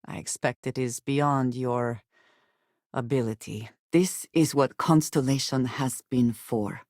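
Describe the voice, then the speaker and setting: A woman playing a guarded, earnest space explorer in a video game, speaking in measured, formal and precise phrases. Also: rumbling low, darkish tone, no echo, studio quality. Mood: serious, guarded. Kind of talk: video game character dialogue lines.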